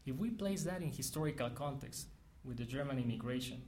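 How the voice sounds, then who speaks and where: A man from Mexico, giving an academic lecture in an earnest, measured way with frequent restarts. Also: mid-range voice, lively intonation, a little mellow.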